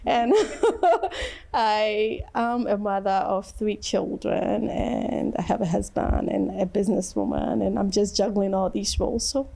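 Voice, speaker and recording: A middle-aged woman tells her own story as an interview guest. She talks in a chatty, relaxed way and breaks into laughter between phrases. Her voice sits at a middle pitch. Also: measured pace, vocal fry. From Ghana. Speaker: fashion designer guest. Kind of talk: interview answers.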